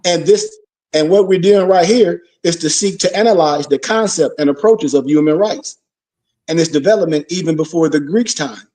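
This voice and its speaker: A man's voice: on the high side, moderate pace, darkish tone, distant mic. A man giving an informal religious lecture, preachy, full of rhetorical questions and call-and-response. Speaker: religious teacher.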